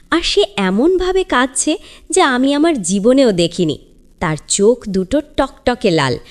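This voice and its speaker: A woman tells a children's story in a high voice with very lively swings of pitch. Her scripted delivery is theatrical and builds suspense as a storyteller would.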